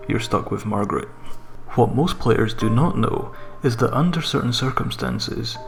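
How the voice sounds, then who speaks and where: A man with a mid-pitched, slightly gravelly voice narrates a scripted horror tale. His reading is measured and deliberate. Music plays faintly behind him. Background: background music.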